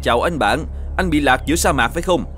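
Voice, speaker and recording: A man's voice: a little high, quick pace, a bit bright, soft background music, lightly acted. Man voicing a helpful old cartoon desert horseman. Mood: kind, concerned. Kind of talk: animated folktale dialogue.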